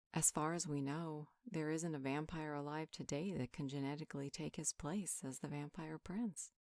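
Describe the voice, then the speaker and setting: Woman playing a low-voiced, wise healer. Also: creaky, a little mellow, no room sound. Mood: gentle reasoning. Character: calm, wise female healer counseling an upset friend. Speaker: voice actor in roleplay audio. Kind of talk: conversational roleplay monologue, one side of a dialogue.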